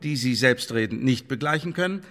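An actor playing a stern, formal official of an Elizabethan spy agency in a German radio play. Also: male voice, medium pitch, lively intonation, fairly bright tone. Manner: formal, bureaucratic, measured. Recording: reverberant hall.

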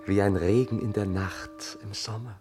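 An actor playing an ardent, adoring young lover in a 1960 radio play, with rapturous, lyrical declamation. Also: male voice, on the low side, steady tone, moderate pace, music in the background, natural voice. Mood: tender, ardent. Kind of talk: theatrical 1960 German radio play acting.

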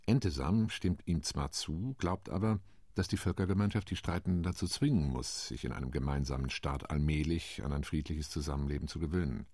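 An actor playing a middle-aged male UN commission delegate, recounting the committee's debates in a measured radio play monologue. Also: very deep voice, a little mellow, dry recording, clean studio sound. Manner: measured, reporting. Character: sober male diplomat recounting committee talks.

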